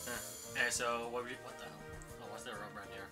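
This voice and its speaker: A goofy man commenting during a game session with friends. Game music plays clearly beneath him. He jokes around and asks questions in a relaxed way. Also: mid-range voice, quick pace. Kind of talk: casual gaming chat with friends.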